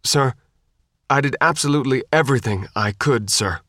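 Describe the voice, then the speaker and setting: A man's voice: medium pitch, moderate pace, a bit bright, no echo, studio quality, lightly acted. A man voicing an earnest, formal young Marine lance corporal in an audiobook, with precise, barked military speech. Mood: anxious, defensive. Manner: barking, precise military address. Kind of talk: audiobook character dialogue.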